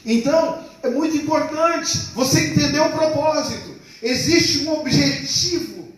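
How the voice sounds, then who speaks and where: A man preaches a sermon, his fairly high voice ringing through a very echoey church hall. He stands well back from the mic, stretches key phrases slowly and keeps calling on the congregation to answer. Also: far from the mic. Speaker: pastor.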